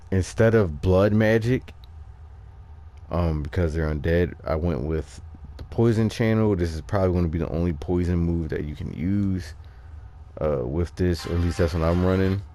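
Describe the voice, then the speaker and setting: A man giving casual, off-the-cuff game walkthrough commentary, thinking aloud in a halting way. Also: deep voice, dark tone. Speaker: gaming video host.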